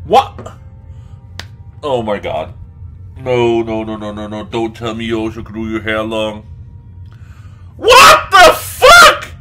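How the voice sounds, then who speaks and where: Animated, bright-voiced man gaming. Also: fairly low pitch, slow pace, pauses often, faint music behind. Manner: crude, jokey, exclamatory. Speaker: let's play gamer. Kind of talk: casual gaming commentary, reacting aloud.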